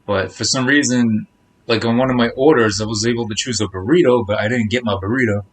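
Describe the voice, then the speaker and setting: A man's voice: medium pitch, quick pace. A man live-streaming a game, rambling casually to his viewers in a chatty way.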